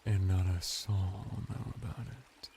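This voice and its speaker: A flirty merman played by a man murmurs seductively in a low, creaky voice. He draws out his words very slowly, teasing and servile, in intimate ASMR roleplay style. Noisy watery sound sits behind him. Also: fairly even intonation, mellow. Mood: coaxing, tender. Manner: slow, teasing, breathy murmur with dramatic pauses.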